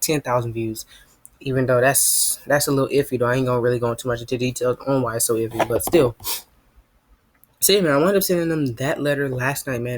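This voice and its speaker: A teenage gamer talks fast and loose, tossing in "man" every few breaths. His mid-pitched voice sits close to the mic with no room sound at all. He rambles to his viewers from his home setup. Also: no room sound. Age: teens. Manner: rambling, repeats "man" and "you know what I mean". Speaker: gaming YouTuber. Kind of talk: casual gaming vlog.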